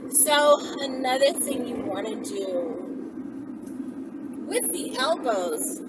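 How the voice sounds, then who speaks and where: High-voiced woman vlogging over loud car noise. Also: lively intonation, takes it slowly. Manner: conversational, explanatory, frequent check-in questions. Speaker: vlogger giving instructions. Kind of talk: casual instructional talk to camera. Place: inside a car, daytime. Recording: distant mic, loud vehicle and road noise. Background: vehicle ambience.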